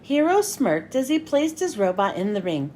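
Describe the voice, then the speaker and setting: A woman reading a children's storybook aloud in an expressive story-time manner. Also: a little high, normal speed, a little hiss or hum. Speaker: children's read-aloud host. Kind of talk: animated storybook narration.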